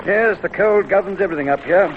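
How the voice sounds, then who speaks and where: A man's voice: high voice, fast. A man giving explanatory travel commentary as a guide, matter-of-fact and informative. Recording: dull, noisy vintage radio recording.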